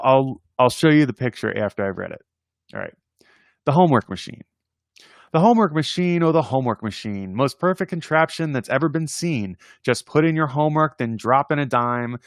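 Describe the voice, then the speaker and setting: A man hosting a casual read-aloud of children's poems, playful and expressive in verse, with informal chatty asides. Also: mid-range voice, dry recording, studio quality. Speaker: host reading poems aloud on a livestream.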